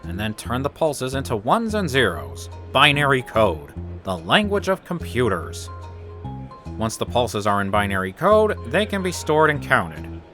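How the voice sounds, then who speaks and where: Enthusiastic male science teacher for a comic dub: bright, emphatic, wildly varied intonation. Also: mid-range voice, huge pitch swings, measured pace, soft background music, full character voice. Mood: instructive, keen. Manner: explanatory, emphatic. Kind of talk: classroom lecture in a comic dub.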